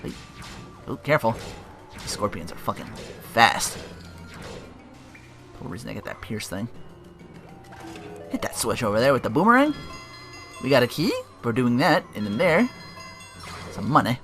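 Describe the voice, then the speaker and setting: A man hosts a let's play in a bright, animated voice. He rambles casually, swears and corrects himself as he goes, while faint game music plays behind him. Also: medium pitch, normal speed, pauses often. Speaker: let's player, host. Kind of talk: casual gameplay commentary with swearing.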